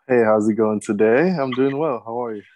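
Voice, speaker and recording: A man's voice: medium pitch, fairly quick, no room sound, dull audio. A young man from Cameroon, talking casually as a guest in an interview about himself. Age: young adult. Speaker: podcast guest, medical student. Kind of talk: casual interview, personal account.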